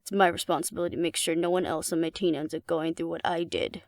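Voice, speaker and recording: A woman acts out a female alpha werewolf for an audio roleplay, with a lowish voice full of gravelly fry. She is cocky and flirtatious, teasing the listener with wry confidence. The sound is dry and close. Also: fairly even intonation, fast talker, no room sound. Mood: brooding, guarded. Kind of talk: intimate audio roleplay acting addressed to the listener.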